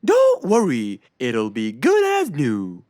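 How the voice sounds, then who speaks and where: A performer voicing an obliging, upbeat male fox handyman in a children's cartoon, with an animated, reassuring manner. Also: mid-range voice, takes it slowly, noisy background. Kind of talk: children's cartoon character acting. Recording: music behind the voice.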